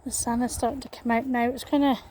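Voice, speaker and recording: A Scottish woman vlogging as she walks along an outdoor path in a small town. Her voice is croaky, and her talk is full of fillers and restarts. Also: on the high side, little pitch movement, quick pace, dark tone, slight background noise. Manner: hesitant, many fillers and restarts. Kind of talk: walking vlog chat.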